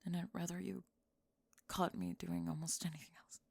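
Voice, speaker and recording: A woman acts out a prickly young goth bully in an ASMR romance roleplay. Her low voice crackles with fry, close and dry, halting and sinking into whispers as she guards her feelings. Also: very animated, a little mellow, no echo, heavily acted. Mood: resigned, vulnerable. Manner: halting, breathy sighs, drops into whispers. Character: young goth bully woman, defensive, reluctantly confessing love.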